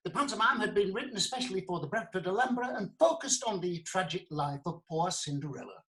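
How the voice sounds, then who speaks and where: Earnest prosecuting lawyer for comic courtroom audio drama, female actor, medium pitch, formal storytelling delivery with theatrical touches. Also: lively intonation, quick pace, fairly bright tone, natural voice. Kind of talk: comic courtroom radio play acting.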